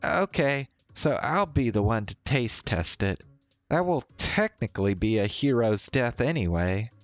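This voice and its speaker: A man voicing a quirky, dorky young woman with dark humor, playful and casual, in a visual novel. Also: medium pitch, lively intonation, a little slow, no echo, faint music behind, close to an everyday voice. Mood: resigned, playful.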